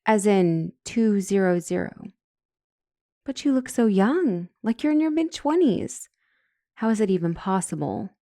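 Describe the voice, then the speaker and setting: Woman acting flustered counselor, mellow and close. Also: mid-range voice, big pitch swings, a little slow, no room sound. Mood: flustered, astonished. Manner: stammering, awkwardly self-correcting. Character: flustered female couples counselor, smitten with vampire patient. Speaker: doctor and counselor. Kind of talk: comedic audio roleplay acting.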